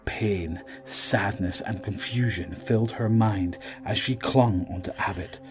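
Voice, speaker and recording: A man's voice: on the low side, measured pace. A man giving dramatic, scripted narration as the storyteller of a horror audio drama. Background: dramatic music.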